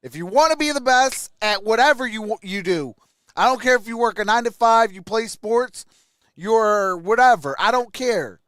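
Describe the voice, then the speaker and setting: A man's voice: sits high, animated, moderate pace, fairly bright tone, dry recording. A man hosting a sports livestream, mixing casual play-by-play with chat in an emphatic way.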